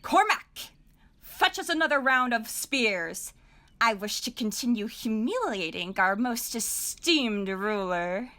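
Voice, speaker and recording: A haughty fantasy lord, acted by a woman drawls slowly in a fairly high voice. The manner is pompous and taunting, a mocking royal rival from an audio drama. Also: sing-song, bright, forceful tone, full character voice. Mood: disdainful. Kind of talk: amateur audio drama acting.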